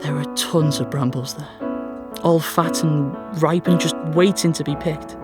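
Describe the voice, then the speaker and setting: An actor playing a sickly, self-pitying teenage schoolboy with a North East English accent in an audio drama monologue, glum and sardonic. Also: male voice, on the high side, steady tone, a little slow, music in the background, close to an everyday voice. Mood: wistful, weary. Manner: glum, complaining, melodramatic.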